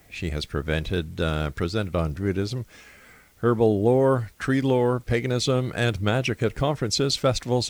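A man's voice: fairly low pitch, no echo. A man hosting a late-night radio talk show, opening in announcer style and then interviewing his guest. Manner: announcer-style, rattles off station lists.

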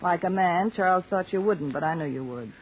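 A very husky, brassy American movie actress on the skids in old radio drama style. She is cynical and slangy, mocking as she schemes, on a muffled vintage recording. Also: on the low side, a little fast. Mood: cynical, brazen. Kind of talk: 1940s radio horror drama acting.

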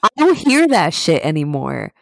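A young woman co-hosting a podcast, animated and laughing, swearing casually as she tells stories. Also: deep voice, normal speed, gravelly, no room sound. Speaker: podcast co-host.

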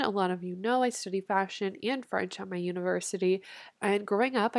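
A young woman in her twenties talks through a cold, her voice thick and nasal. She chats in a bubbly, loose way, dropping swear words without a pause, and the sound is dry with no room echo around her. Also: medium pitch, creaky. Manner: bubbly, chatty, swears casually. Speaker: podcast host and manifestation coach. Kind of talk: casual solo podcast chat.

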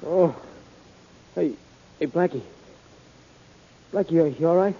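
A man playing a dim, loyal, excitable small-time crook, stammering and ungrammatical, as comic sidekick in an old-time radio drama. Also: fairly high pitch, slow pace, slightly rough, exaggerated voice. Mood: dazed, worried. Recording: hissy, dull old-time radio recording.

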